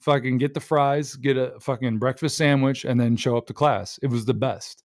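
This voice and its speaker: A young man with a light Ohio accent rambles through loose, foul-mouthed stories while hosting a livestream. His pitch sits right in the middle, and the close microphone leaves the sound dry, with no room around it. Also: steady tone, moderate pace, no room sound. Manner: rambling, profane storyteller. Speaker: livestream host. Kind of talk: casual chat. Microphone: personal microphone.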